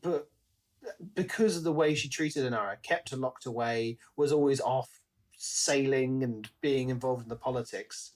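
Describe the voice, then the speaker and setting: A man with a medium-pitched voice talks as a podcast co-host. He discusses fiction in a casual, chatty way, working through his thoughts out loud. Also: normal speed.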